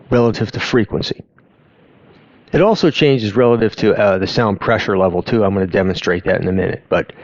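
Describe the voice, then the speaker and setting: A man giving an informal explainer in a halting, conversational way, often interrupting himself to rephrase. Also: lowish voice, a little mellow, dry recording, lo-fi sound. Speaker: host of an audio education channel. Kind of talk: informal explainer talk over on-screen graphs.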